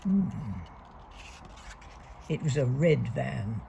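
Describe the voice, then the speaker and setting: Woman storybook reader for young children, very creaky voice, lively melodic swings, emphatic key words, faint background noise. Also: very deep voice. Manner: deliberate, expressive read-aloud with emphasised key words. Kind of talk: reading a young children's picture book aloud.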